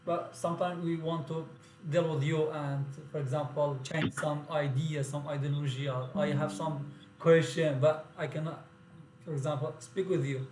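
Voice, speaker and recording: A man speaking English as a second language in casual conversation, asking halting questions with broken grammar. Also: on the high side, level tone, darkish tone, echoey room, a little hiss or hum, low-quality recording. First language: not English.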